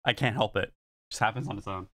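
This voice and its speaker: A young man from Sweden with a Swedish accent speaks fast and bright into a gaming headset. He fires off short, repeated callouts during a competitive match. Between rounds he chats with his viewers. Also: fairly low pitch. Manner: quick repeated shouted callouts, then relaxed chat to viewers. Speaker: streamer and team player.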